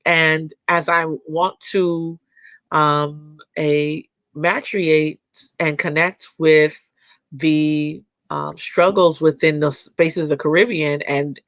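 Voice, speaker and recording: A woman guest in a casual podcast conversation, speaking in a thoughtful, halting way with frequent fillers and restarts. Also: low-pitched, a bit bright, no echo.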